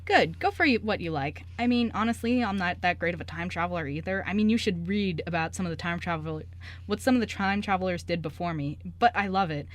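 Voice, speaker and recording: A woman's voice: lowish voice, expressive, brisk, lightly acted. A woman playing a breezy young male journalist with secret magic in an amateur radio play, talking in a casual, joking, slangy way. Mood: friendly, sincere.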